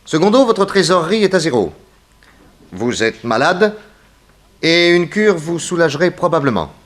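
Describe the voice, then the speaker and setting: A man playing a shrewd, authoritative detective in a 1960s French radio mystery, addressing suspects with deliberate, theatrical command. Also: fairly high pitch, big pitch swings, a bit bright. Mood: accusing, commanding.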